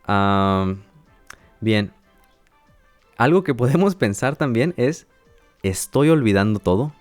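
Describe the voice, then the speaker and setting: A man hosting a language-learning podcast, speaking calmly and patiently like a teacher who checks in often with his listeners. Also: lowish voice, no echo, faint music behind. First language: Spanish. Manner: calm, measured, with teacherly check-ins and light laughs. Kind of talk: educational podcast monologue.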